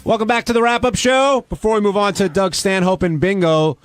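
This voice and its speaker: A man co-hosting a radio wrap-up show, speaking in a measured, reasonable way. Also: sits high, steady tone, over music.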